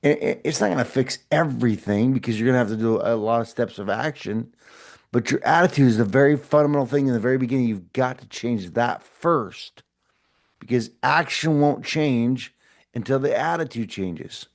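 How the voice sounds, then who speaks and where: A man's voice: mid-range voice, normal speed, creaky. A middle-aged man hosting a short motivational podcast, talking earnestly and encouragingly with frequent repeats and restarts. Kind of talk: casual motivational talk to camera.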